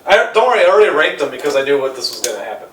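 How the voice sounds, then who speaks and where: A man with a lively, fairly high voice speaks emphatically and swears freely in a casual debate. He is a podcast co-host, picked up from a little distance in an echoey room. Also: very fast. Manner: emphatic, profane.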